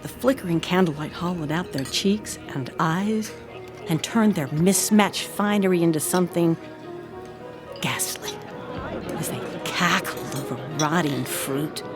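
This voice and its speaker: A proud, haunted housekeeper acted by a woman tells her tale in an audio drama. Her voice is on the low side, expressive and deliberate, with soft music beneath it. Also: close to an everyday voice. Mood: haunted, grave.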